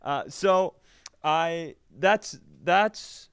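A man's voice: a little high, very slow, fairly bright tone, clear, resonant tone, no echo, studio quality. A man co-hosting a comedy podcast, telling stories in casual, profane banter with mock quotes.

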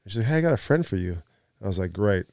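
A man in his thirties, a podcast guest, telling stories in an animated, laughing way during casual chat. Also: lowish voice, very fast, no room sound, clean studio sound. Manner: animated storyteller, laughs mid-story. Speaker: podcast guest, former athlete.

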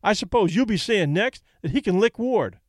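A man doing a loud, snappish fight promoter. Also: high-pitched, big pitch swings, moderate pace, no echo, clean studio sound, pitched above the performer's own voice. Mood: brusque. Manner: snapping, blustering. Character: loud gruff male fight promoter, impatient.

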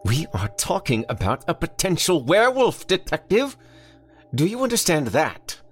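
Fussy, stammering male scientist, jumpy and high; a man reads him in a storybook reading. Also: huge pitch swings, moderate pace, faint music behind. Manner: nervous, fussy, stammering when cornered. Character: fussy, excitable male scientist doctor, short and thin.

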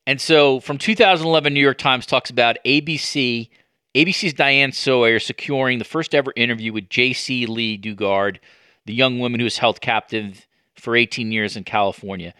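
A man hosting a podcast interview, talking conversationally and probing as he works through halting, mid-sentence restarts. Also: mid-range voice, pushed and bright, clear, resonant tone, dry recording, studio quality. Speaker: podcast host, sports journalist.

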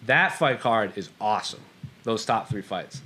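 A man's voice: lowish voice, animated, a little slow, sharp tone, slightly rough. A man co-hosting a mixed martial arts show, casually fielding fan questions and chatting about the sport. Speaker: co-host of an MMA Q&A show.

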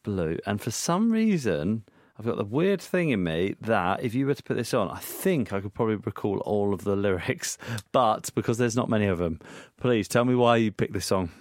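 Low-voiced easygoing male podcast host. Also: lively intonation, moderate pace, hardly any pauses, darkish tone, dry recording, studio quality. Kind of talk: casual interview.

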